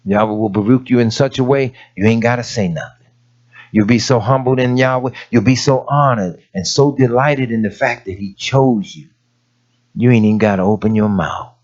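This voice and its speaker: A man preaching a sermon in a raspy voice, telling everyday stories and rising to emphatic points. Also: mid-range voice, lo-fi sound. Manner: folksy storytelling preaching, rising to emphatic peaks.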